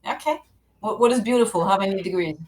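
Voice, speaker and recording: A woman's voice: mid-range voice, animated, fast, darkish tone. A woman hosting a casual interview on a video call, upbeat and greeting viewers. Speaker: host.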